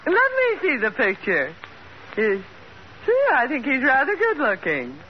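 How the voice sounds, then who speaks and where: Droll society wife, high voice, slow swoopy delivery, muffled old radio comedy. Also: female voice, huge pitch swings, heavily acted. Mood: curious, teasing. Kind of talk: 1950s radio sitcom acting. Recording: muffled, faintly noisy old-time radio recording.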